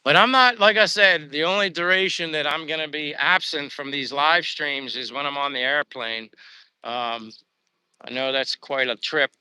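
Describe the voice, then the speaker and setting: A man's voice: fairly high pitch, moderate pace, bright, forceful tone, clean tone, dry recording, poor audio. A man with a Pennsylvania accent hosting a casual livestream, rambling upbeat and thanking viewers as he chats. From United States. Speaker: livestream host. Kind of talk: casual livestream chat reading viewer comments. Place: living abroad.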